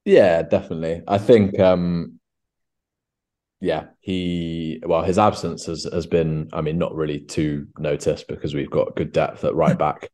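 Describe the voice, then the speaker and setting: A man's voice: sits low, level tone, unhurried, darkish tone. A young man hosting a casual football podcast, talking in long rambling stretches with many restarts and fillers. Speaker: podcast host.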